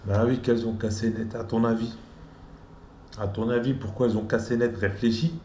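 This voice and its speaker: Flemish man, Dutch native, mocking narrator; mellow tone, roomy echo. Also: medium pitch, flat delivery, moderate pace, a little hiss or hum. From Belgium. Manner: teasing, scolding, repetitive. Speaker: voice-over commentator. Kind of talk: mocking commentary over footage.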